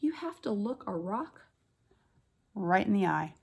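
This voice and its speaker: A woman kindergarten teacher reading a picture book aloud, with expressive stressed words and chatty asides to the children. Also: mid-range voice, a little slow, gravelly, husky.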